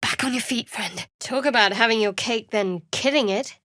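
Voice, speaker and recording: An actress playing a guarded, wry young half-elf cleric in a fantasy video game, speaking in a measured, dry, slightly haughty way. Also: female voice, a little high, fairly bright tone, dry recording, natural voice. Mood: wry, cutting. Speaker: video game character voice actor. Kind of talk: scripted fantasy game dialogue.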